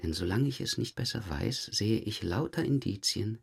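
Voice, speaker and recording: A low, raspy police detective played by a male actor in a German crime drama. He questions in a dry, insistent way, pressing for answers with pointed remarks. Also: expressive, normal speed, full character voice.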